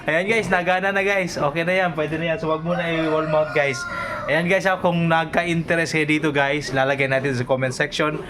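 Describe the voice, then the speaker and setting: Filipino man vlogging casually over clear noise. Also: fairly high pitch, fairly even intonation, moderate pace. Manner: informal, addressing viewers and giving instructions to helpers. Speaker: vlog host. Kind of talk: casual vlog chat.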